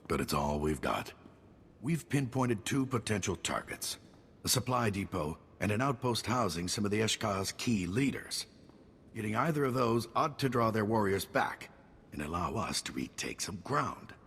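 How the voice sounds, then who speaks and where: An actor playing a gruff, battle-weary general with a clipped, wry military delivery in a video game cutscene. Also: male voice, fairly low pitch, big pitch swings, normal speed, fairly bright tone, slight background noise. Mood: dry, urgent. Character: gruff, weary, wry male army general under siege.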